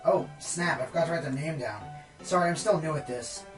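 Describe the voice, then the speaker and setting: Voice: medium pitch, fairly quick, soft background music, lightly acted. An actor playing a clumsy, easygoing new pony assistant in a radio play, hesitant and scatterbrained. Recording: echoey small room.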